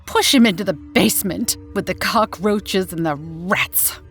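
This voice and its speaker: A woman's voice: mid-range voice, a little slow, fairly bright tone, soft background music. A woman voicing a heartless, scornful, commanding mother in an old-time radio horror story. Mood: cold command. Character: heartless mother, disgusted by her own son. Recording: roomy echo.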